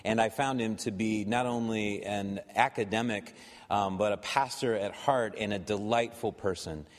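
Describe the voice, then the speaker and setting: A man's voice: on the low side, fairly even intonation, normal speed. A man giving an informal spoken introduction of a guest speaker at church. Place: large reverberant room. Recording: echoey hall with long reverb.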